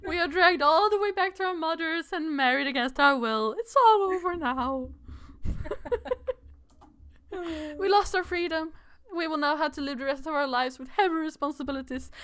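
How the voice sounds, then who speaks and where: A woman's voice: high-pitched, bright, forceful tone. A woman reading a visual novel aloud as a dramatic young runaway royal narrating a fairy-tale adventure. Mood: dramatic despair.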